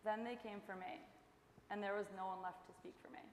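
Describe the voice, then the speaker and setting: A woman's voice: medium pitch, steady tone, fairly quick. A young woman giving a scripted stage recitation as a student performer. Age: young adult. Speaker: student performer in a readers theater. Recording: echoey noisy hall, distant stage sound.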